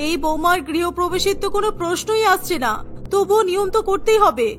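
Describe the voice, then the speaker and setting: Woman as harsh middle-aged mother-in-law, scolding, very high voice, cartoon melodrama, music underneath. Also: fairly quick. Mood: disgusted, grudging. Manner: scolding, disdainful. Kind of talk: Bengali cartoon melodrama voice acting.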